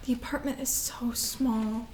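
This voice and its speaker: A woman's voice: a little high, fairly even intonation, unhurried, a little mellow, a little hiss or hum, close to an everyday voice. A woman in a staged play reading, playing a needy, anxious teenage younger sister with a plaintive, questioning way of talking.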